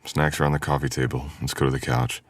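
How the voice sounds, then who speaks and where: A man's voice: extremely low pitch, level tone, fast talker, a little hiss or hum. A man playing a teasing, caring male best friend in an intimate boyfriend ASMR audio roleplay, with playful grumbling.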